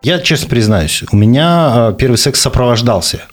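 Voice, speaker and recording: A man's voice: mid-range voice, animated, moderate pace. A man in his forties hosting a podcast, talking in a casual, frank, joking way. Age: 40s. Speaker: podcast host.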